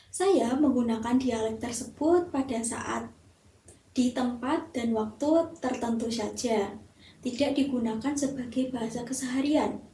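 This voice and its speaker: High-voiced young woman. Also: mellow. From Temanggung, Central Java, Indonesia. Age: young adult. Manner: reads a prepared script in measured, explanatory sentences. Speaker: university student. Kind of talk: scripted self-introduction for a class assignment. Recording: roomy echo, distant mic, faint hiss.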